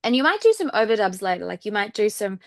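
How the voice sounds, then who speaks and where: A woman with an Australian accent chatting casually as an interview guest, often softening points with filler phrases like "kind of". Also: mid-range voice, sing-song, quick pace, no echo, studio quality. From Sydney, Australia. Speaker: guest singer-songwriter.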